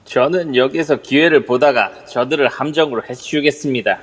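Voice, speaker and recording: A man's voice: mid-range voice, a little fast, slightly rough, natural voice. A man playing a servile, scheming henchman who urges his lord on in deferential archaic honorifics in an amateur audio drama. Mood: urging. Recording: long reverb throughout.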